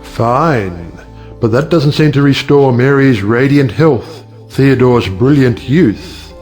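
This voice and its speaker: A witty, cynical middle-aged judge played by a male actor holds forth in a stage-play style. He is sardonic and rhetorical, lecturing his family in a medium-pitched voice, with music behind him. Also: expressive, takes it slowly, a little mellow. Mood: earnest, argumentative. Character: witty cynical older uncle, a judge who lectures on marriage. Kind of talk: stage play audiobook acting.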